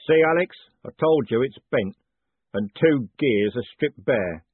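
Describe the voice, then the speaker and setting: A male narrator plays a sardonic workman with a husky voice. He is blunt, dry and teasing in a storybook reading with a thin, telephone-like sound. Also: mid-range voice, expressive, unhurried, no echo, voice pushed up. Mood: brisk, blunt. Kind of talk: audiobook character voice. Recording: narrow phone-like band.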